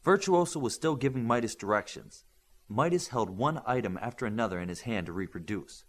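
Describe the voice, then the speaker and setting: A man's voice: medium pitch, expressive, fairly quick. A man reading a scripted story aloud as an audiobook narrator.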